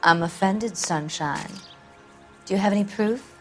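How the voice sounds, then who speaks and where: Actress as flirtatious, dazed 1940s American starlet for a crime game: mid-pitched, haughty, teasing, faint music behind. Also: female voice, a little slow, natural voice. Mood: haughty, indignant. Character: vain, flirtatious, brassy 1940s film actress, dazed and evasive. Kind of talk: video game drama dialogue.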